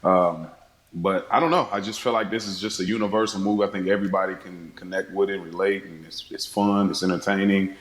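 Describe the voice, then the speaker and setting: A roomy, echoing recording of a man as a podcast guest. He chats casually in a fairly low-pitched voice. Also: steady tone, a little fast. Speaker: podcast guest, fellow podcast host. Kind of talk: casual chat.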